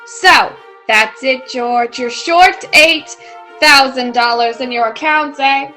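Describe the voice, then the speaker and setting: Merciless female tycoon, played by an actress: sharp, high voice, menacing contempt, radio drama. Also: takes it slowly, soft background music, full character voice. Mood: coldly mocking. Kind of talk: old-time radio play acting.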